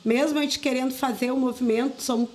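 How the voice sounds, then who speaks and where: High-pitched woman with a southern Brazilian accent. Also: steady tone, fast talker, darkish tone. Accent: southern Brazilian, Rio Grande do Sul. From Rio Grande do Sul, southern Brazil. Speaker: interview guest, community group coordinator. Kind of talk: conversational radio interview talk.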